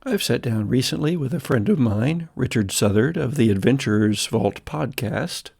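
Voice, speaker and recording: An American man with a very gravelly, fry-laden voice at a middling pitch. He works as a game master, narrating improvised horror. His storytelling is dramatic and deliberate, with pauses that trail off. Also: animated, a little mellow. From United States. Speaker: game master and podcast host.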